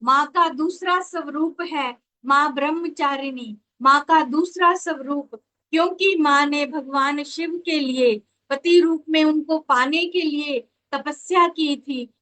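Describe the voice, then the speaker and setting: A woman giving a devotional lecture as a spiritual teacher, in a measured, devout, instructive manner. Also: high voice, bright, forceful tone, low-quality recording. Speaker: spiritual teacher and astrologer leading an online session. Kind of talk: devotional lecture presenting with slides.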